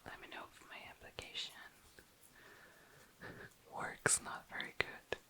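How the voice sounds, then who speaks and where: An ASMR host chatting casually to viewers in a hushed, breathy, unhurried whisper. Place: tabletop indoors.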